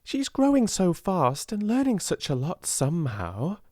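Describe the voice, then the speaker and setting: A man's voice: sits high, wildly swooping pitch, measured pace, a little mellow, no room sound, clean studio sound, pitched above the performer's own voice, heavily acted. A man with a British accent voicing a silly but kindly schoolgirl in an audiobook, earnest and inquisitive. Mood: gossipy.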